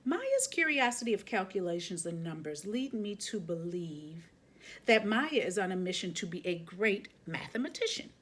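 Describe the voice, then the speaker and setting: A woman voicing a calm, measured male teacher who reassures parents in a children's storybook. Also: medium pitch. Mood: calm, assured.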